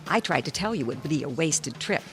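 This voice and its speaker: An exasperated, efficient handler of a difficult star in a radio drama. Her voice is bright and forceful, and her delivery is brisk and dry. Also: deep voice, lively intonation, faint music behind. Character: weary, efficient woman minder of a difficult celebrity author. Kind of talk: radio mystery drama acting.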